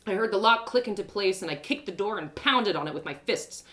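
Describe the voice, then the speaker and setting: A woman storyteller reading a first-person adventure tale in an expressive, dramatic way. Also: mid-range voice, a little fast.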